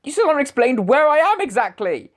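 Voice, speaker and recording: A woman's voice: high voice, very animated, brisk. A woman playing a flustered, panicky writer in his mid-thirties who has just been murdered, in an amateur radio drama. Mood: exasperated. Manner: flustered, exasperated, demanding answers.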